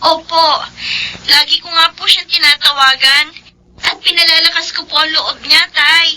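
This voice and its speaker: An actress in a Filipino radio drama playing a young, devoted housemaid who speaks respectfully and earnestly. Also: female voice, very high voice, steady tone, moderate pace, pushed and bright, heavily acted, poor audio. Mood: worried, reassuring. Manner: deferential, pleading.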